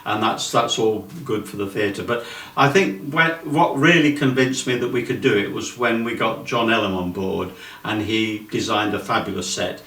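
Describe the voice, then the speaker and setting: A man's voice: on the low side, normal speed, hardly any pauses, a bit bright. A man reminiscing casually in conversation. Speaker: theatre member. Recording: echoey room, distant microphone.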